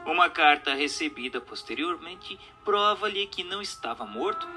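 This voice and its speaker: A Brazilian man delivers scripted narration in a formal, measured storytelling manner. His voice sits fairly high and is strikingly bright and crisp-edged, moving in lively contours. Faint music plays behind him. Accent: Brazilian Portuguese. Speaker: narrator reading a book aloud.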